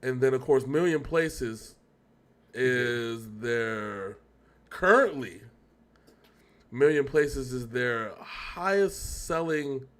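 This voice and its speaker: A man chatting casually as co-host of a reaction show, rambling and often correcting himself as he goes. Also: mid-range voice. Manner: rambling, talkative, self-correcting.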